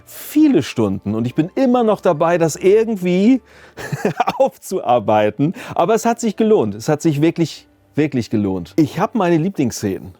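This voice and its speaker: A man's voice: mid-range voice, dark tone, dry recording. A man talking casually and reflectively in an interview as a sound designer, sometimes searching for words. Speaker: audio drama sound designer and mixer. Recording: music playing behind the voice. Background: background music.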